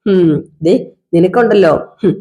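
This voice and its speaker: A woman voicing a drunk, self-pitying husband who accuses his wife in slow, repetitive, sarcastic phrases. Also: fairly low pitch, dark tone, natural voice. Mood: scolding. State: drunk (as acted). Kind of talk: one-person domestic drama skit.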